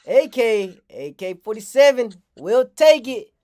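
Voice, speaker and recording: A man's voice: very high-pitched, sing-song, unhurried, a little mellow. A young American man playfully trolling strangers on video chat, often talking like a mock police dispatcher. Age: young adult. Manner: mock-official police dispatcher talk, exclaiming. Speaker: streamer, prank host.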